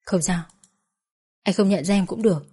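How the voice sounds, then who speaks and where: A woman voicing a grieving young mother who talks softly and pleads close to tears. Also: mid-range voice, normal speed, breathy edge, natural voice. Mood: urgent. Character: grieving young mother at her husband's bedside.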